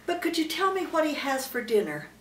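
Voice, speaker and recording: A woman's voice: high-pitched, sing-song, brisk, pitched above the performer's own voice. An older woman voicing a curious, polite young male elephant in a storybook reading, asking earnestly. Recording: roomy, distant mic, faint background noise.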